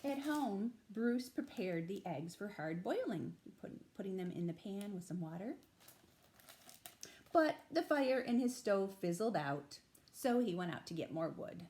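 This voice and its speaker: A woman reading a picture book aloud to young children as a storytime librarian, expressive and emphatic, with explanatory asides. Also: medium pitch, unhurried, a little hiss or hum. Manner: animated, emphatic, with explanatory asides to little listeners.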